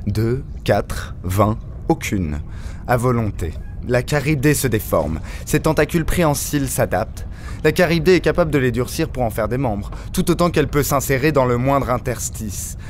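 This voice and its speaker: An actor playing a haughty, eccentric master of an undersea dome in an audio drama, pompous and scornful. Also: male voice, mid-range voice, expressive, normal speed, slight background noise, natural voice. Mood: grandiose. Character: haughty eccentric owner of an undersea dome, fervent creature-lover. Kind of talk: dramatic audio fiction acting.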